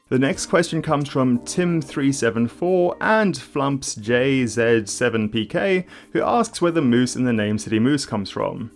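South African-Australian young man, calm explainer. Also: mid-range voice. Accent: South African mixed with Australian. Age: young adult. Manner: clear, measured delivery with casual fillers in Q&A. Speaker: YouTube host. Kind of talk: scripted explainer narration, then casual Q&A.

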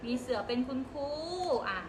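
Woman preschool teacher, high voice, patient prompting, roomy and noisy. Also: moderate pace. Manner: patient, coaxing questions to small children. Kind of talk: guiding young children to make up a story. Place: classroom.

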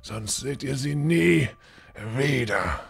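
A man playing a menacing, condescending castle lord with halting false courtesy in a fantasy audio drama. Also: medium pitch, animated, vocal fry, husky, exaggerated voice. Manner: halting, drawling false courtesy with threatening undertone. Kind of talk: fan-made fantasy audio drama acting.

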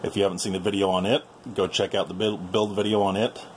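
Man, low voice, informal folksy hobbyist host, casual tour commentary, clear background noise. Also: level tone, fast. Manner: informal, folksy, addressing viewers. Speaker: video host and radio hobbyist. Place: small indoor workshop.

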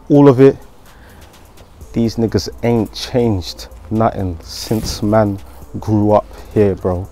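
A young man with a London accent, talking casually in slang and swearing, recorded outdoors with a clip-on mic. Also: medium pitch. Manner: slangy, swearing, chatty, shout-outs. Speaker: vlogger. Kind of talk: casual walk-and-talk vlog. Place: outdoors on streets by a school, then a garden patio.

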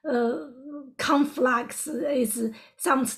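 Woman, interview guest, slow, halting, gravelly, higher voice. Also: fairly even intonation. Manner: halting, searching for words, broken grammar. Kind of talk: personal story in an interview.

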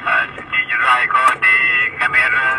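A man's voice: mid-range voice, completely flat delivery, a little slow. A man with a Malaysian accent chatting casually over two-way radio as an amateur radio operator. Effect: two-way radio transmission. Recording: distant radio audio, loud vehicle noise.

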